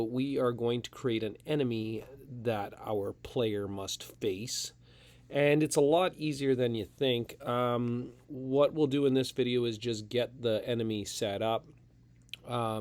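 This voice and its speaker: Casting: man with a slight cold, relaxed tutorial instructor at a desktop mic, talks through each step. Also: medium pitch. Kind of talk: casual screen-recorded coding tutorial.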